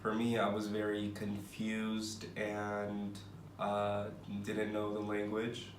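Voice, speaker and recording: Young man from San Francisco, monotone interviewee, distant roomy recording. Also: on the low side, slow. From San Francisco, United States. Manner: matter-of-fact, plainly stated answers. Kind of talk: casual interview answers. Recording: roomy, distant mic with faint noise.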